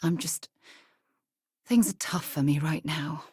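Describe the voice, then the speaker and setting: Game lines for a calm lady space explorer leader, husky, lowish voice, earnest and formal, dry studio sound. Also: takes it slowly, no room sound, heavily acted. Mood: composed. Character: composed, principled woman space explorer leader. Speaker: video game character.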